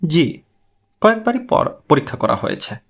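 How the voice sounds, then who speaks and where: A man's voice: fairly high pitch, huge pitch swings, normal speed, vocal fry, a bit bright, slightly rough, heavily acted. A man voicing a polite, puzzled male client in an audiobook story, speaking in short replies and questions. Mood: polite, attentive. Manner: brief, polite, surprised questioning.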